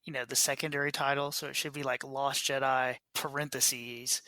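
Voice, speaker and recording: A man's voice: mid-range voice, little pitch movement, normal speed, pushed and bright, no echo. A man co-hosting a podcast in informal, casual chat, dropping "like" into his sentences. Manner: informal, peppered with "like". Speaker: podcast co-host.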